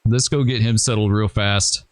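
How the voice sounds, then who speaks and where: A man hosting a gaming channel, thinking aloud and rambling with hesitations as he plans moves in a train simulator game, his voice going hoarse. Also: on the low side, steady tone, moderate pace, dry recording. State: losing his voice. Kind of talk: casual gameplay commentary.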